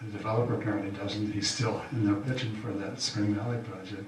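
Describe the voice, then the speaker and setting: An older man gives a folksy campaign speech, pausing often on fillers. His voice sits low and comes from a distance. The hall is very echoey, with a faint hiss behind it. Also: fairly even intonation. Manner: halting, folksy, many fillers. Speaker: incumbent county supervisor.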